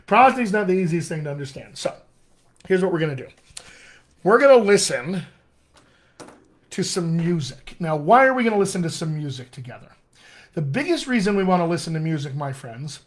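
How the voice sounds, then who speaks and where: A middle-aged American man, a dialect coach, teaching a live online class in an animated, friendly way, often calling his viewers "my friends.". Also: sits high. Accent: American English. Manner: animated, addresses viewers as "my friends", chuckles at own asides. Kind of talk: live-streamed teaching session.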